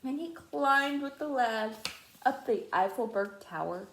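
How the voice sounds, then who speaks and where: Animated woman reading a storybook, echoey room. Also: on the high side, unhurried, a little hiss or hum. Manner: expressive, dramatic. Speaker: teacher reading aloud to students. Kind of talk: animated children's storybook read-aloud.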